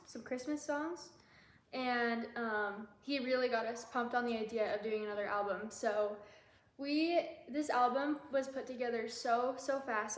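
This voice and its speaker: Quiet young woman singer chatting live, kinda high voice, echoey room. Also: slight background noise. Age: young adult. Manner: soft-spoken, quiet voice. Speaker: singer and co-host. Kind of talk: casual livestream chat.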